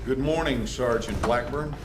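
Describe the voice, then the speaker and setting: An actor playing a male government interrogator who speaks in a measured, dry and pressing way. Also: medium pitch, background noise, lightly acted. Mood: cool.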